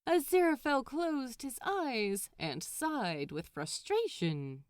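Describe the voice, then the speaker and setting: A woman narrates fiction in an expressive audiobook style. She takes her time, with lively rises and falls in a mid-pitched voice, and the sound is dry. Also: slow pace, clear, resonant tone, dry recording.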